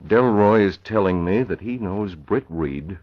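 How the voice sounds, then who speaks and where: Low-voiced vigilante newsman, decisive and measured, vintage radio serial sound, muffled with light noise. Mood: grave, guarded. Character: resolute crime-fighting newspaper publisher, a grown man. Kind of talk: 1940s radio drama acting. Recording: muffled, noisy old radio recording.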